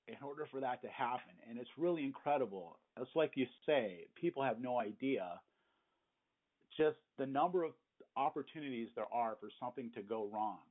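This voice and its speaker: A man chatting conversationally as an interviewer with trade experience, recorded over a telephone. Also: mid-range voice. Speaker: interviewer with experience as a glass installer. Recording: thin, narrow phone line.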